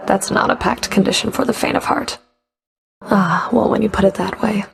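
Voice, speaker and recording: A woman's voice: medium pitch, steady tone, moderate pace, creaky. A woman playing a stern, sardonic underworld Fury in a video game, cool, taunting, curt and commanding. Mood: sardonic, menacing. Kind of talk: video game character voice lines.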